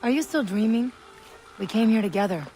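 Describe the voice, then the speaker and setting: A woman's voice: a little high, a little fast, mellow, close to an everyday voice, rough recording. Solemn, resolute mother played by an actress, over music. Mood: urgent. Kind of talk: dramatic video game acting.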